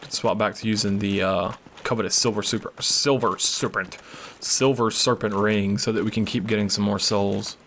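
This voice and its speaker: A man's voice: on the low side, steady tone, moderate pace, a bit bright, slight background noise. A man casually commenting while he plays a video game, rambling and swearing, losing his voice and coughing. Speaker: gaming channel host. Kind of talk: casual let's play commentary.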